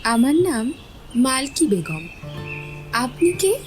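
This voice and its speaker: A woman playing a frightened, evasive young village wife in an audio drama, speaking in a halting, pleading way. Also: sits high, sing-song, music in the background, exaggerated voice. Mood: wary. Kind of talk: Bengali audio drama acting.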